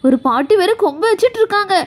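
A woman's voice: very high voice, animated, fast. A woman voicing a cheerful, chatty little girl at play in a children's toy story. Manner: exclaiming, chatty. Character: cheerful, eager little girl playing with friends.

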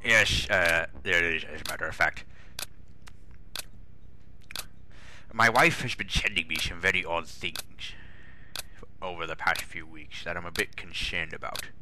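A man's voice: deep voice, fairly even intonation, moderate pace, natural voice. A man voicing a pompous, exasperated older railway boss with a British accent, grumbling indignantly in a comic dramatic reading. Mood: worried.

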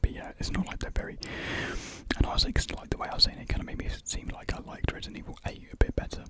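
A British man in his twenties whispering a casual ASMR ramble softly, close to a desktop microphone in a small room. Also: quick pace. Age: 20s. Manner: soft unvoiced whispering. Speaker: ASMR video creator. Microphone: close desktop USB microphone.

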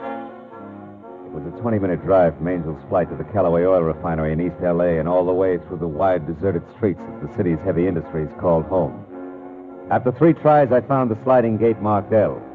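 A muffled old radio show features a tough American private eye. His voice is low, and he speaks with dry, hardboiled wit. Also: big pitch swings, brisk, over music. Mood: grim, tense. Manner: hardboiled, wry. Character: wisecracking tough private detective, adult man. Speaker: lead actor and narrator. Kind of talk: 1940s radio detective acting.

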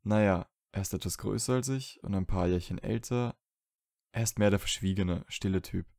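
A man's voice: fairly low pitch, normal speed, gravelly, a little mellow, dry recording, studio quality. A man playing a wryly sullen, lovesick young wizard in a fan fiction audio drama, casual and understated. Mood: wry, annoyed.

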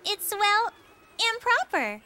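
A woman's voice: extremely high pitch, big pitch swings, slow, pushed and bright, full character voice. An actress playing a playful, girlish young noblewoman in a video game, speaking in a light, informal way. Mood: amused musing. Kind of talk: dramatic video game cutscene acting.

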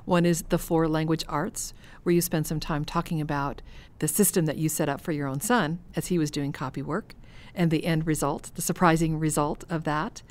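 A woman's voice: deep voice, steady tone, moderate pace, few pauses. A woman hosting a podcast, relaying listener questions and pointing listeners to resources in an organized way. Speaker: podcast host.

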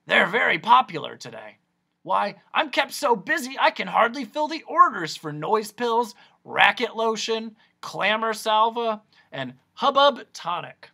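A narrator voicing a manic, excitable male doctor in a storybook, a theatrical salesman of noise who bellows and stretches out his words. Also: high voice, a little slow, vocal fry, husky, voice pushed up, exaggerated voice. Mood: surprised, proud.

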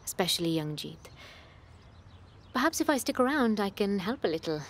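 An actress playing a polite, watchful tenant in a radio soap, measured and gently probing. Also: female voice, mid-range voice, very animated, a little hiss or hum.

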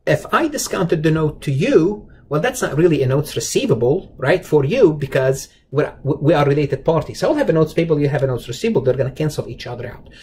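A man's voice: on the high side, lively intonation, brisk, few pauses, darkish tone. A man giving an informal accounting lecture, explaining step by step with emphatic repetition and rhetorical questions aimed straight at the learner. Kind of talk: explanatory lecture over slides, informal and conversational. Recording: noticeable background noise.

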